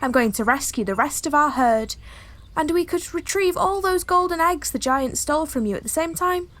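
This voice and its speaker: A woman's voice: sits high, lively intonation, normal speed, fairly bright tone. A woman playing a plucky, earnest young helicopter pilot in an audio pantomime, with music behind her. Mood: grateful, eager.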